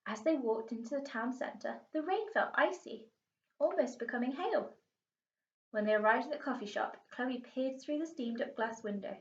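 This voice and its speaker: A woman's voice: fairly high pitch, normal speed. A British woman, a primary school teacher, reading a storybook aloud expressively. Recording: muffled, echoey room, distant mic.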